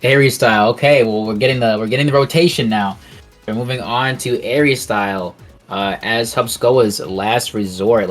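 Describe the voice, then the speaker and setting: Low-pitched male game caster, conversational. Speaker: esports commentator. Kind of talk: live casual game commentary.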